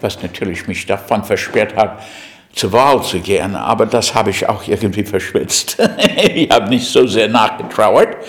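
A man's voice: lowish voice, lively intonation, moderate pace. An older man from the USA with an American accent, a native English speaker, speaking reflectively with some stumbles. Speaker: interviewee.

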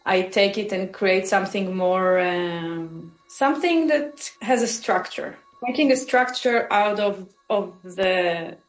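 A woman's voice: medium pitch, takes it slowly, hardly any pauses. A woman, answering interview questions thoughtfully and searching for her words. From Israel. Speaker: musician guest. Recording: roomy with faint music.

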